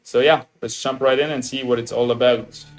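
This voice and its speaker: A young man with an Austrian German accent, chatting excitedly to his viewers as a game streamer. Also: mid-range voice, a little fast, soft background music. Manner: excitable, upbeat, chatty with restarts. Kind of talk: casual livestream chat, greeting viewers.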